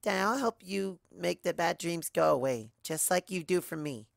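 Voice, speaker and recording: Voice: medium pitch, lively intonation, moderate pace, creaky, dry recording, clean studio sound, voice pushed up. An adult narrator voicing a little unicorn colt, an anxious and loving young son, in a fan fiction reading. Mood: earnest, comforting.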